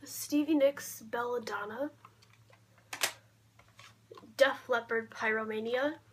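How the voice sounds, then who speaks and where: A young woman talking casually in a low-key show-and-tell vlog, often trailing off, recorded on a camera mic in a bedroom. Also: takes it slowly. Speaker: vlogger showing a collection. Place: small cluttered bedroom, indoors. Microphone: camera mic at arm's length. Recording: clear background noise, handling clicks.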